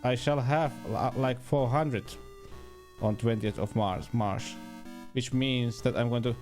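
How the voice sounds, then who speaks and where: Slow-talking Finnish man streaming over game music. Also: medium pitch, a little mellow. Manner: halting, searching for words, muttering reactions. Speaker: video game livestreamer. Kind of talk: casual livestream commentary while gaming.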